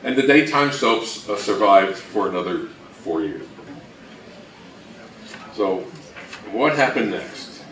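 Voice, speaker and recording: A man with a somewhat low voice presents informally, chatting and joking as he goes. The recording is strongly roomy, a little distant, with faint background noise. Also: expressive, takes it slowly, long pauses, a bit bright. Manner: joking, conversational. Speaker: co-presenter of a history talk. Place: room presentation before an audience.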